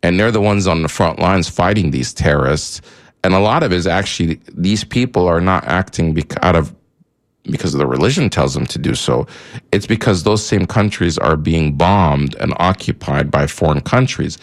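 A man from Egypt, Arabic his first language, hosts a call-in radio show. His voice sits very low with a gravelly fry. He rambles, backtracks and leans on fillers as he talks things through. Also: expressive, normal speed. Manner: rambling, many fillers and restarts.

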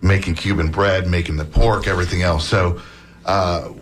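A man talking casually as a guest author on an interview show, relaxed and chatty, with many fillers and restarts. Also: low voice, moderate pace, slight background noise. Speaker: book author, academic.

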